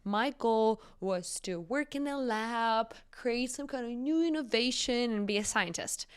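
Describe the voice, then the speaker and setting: A woman's voice: on the high side, a little slow, clean tone. A woman in her twenties with a Lithuanian accent, telling stories informally and emphatically as an interview guest. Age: 20s. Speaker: entrepreneur guest. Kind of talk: conversational interview storytelling.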